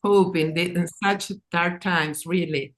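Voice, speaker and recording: Woman, English, slightly low voice, halting artist talk, repeated words, informal. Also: fairly even intonation. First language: not English. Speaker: artist and writer presenting own work.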